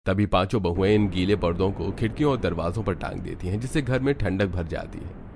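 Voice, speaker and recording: A man's voice: sits low, brisk, music in the background. A man narrating a scripted cartoon story as its storyteller.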